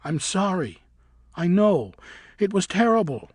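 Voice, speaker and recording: Man doing a guilty young bat, stammering, apologetic, husky, slightly raised voice. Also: sits high, very animated, measured pace, no room sound, studio quality, voice pushed up, heavily acted. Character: young male bat, timid and guilt-ridden.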